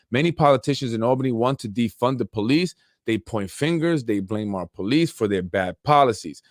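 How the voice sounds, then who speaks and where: A man's voice: mid-range voice, no room sound. A man hosting a podcast, reading an article aloud and adding rambling, chuckling asides with many restarts, close to the mic. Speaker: podcast host. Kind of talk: casual political commentary reading an article aloud.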